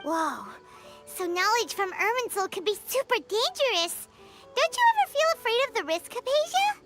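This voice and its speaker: A woman voicing a tiny, childlike, curious and excitable companion creature in a video game, with a squeaky voice. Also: normal speed. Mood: amazed, concerned. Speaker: game character. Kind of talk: scripted video game voice acting. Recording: loud music under the voice.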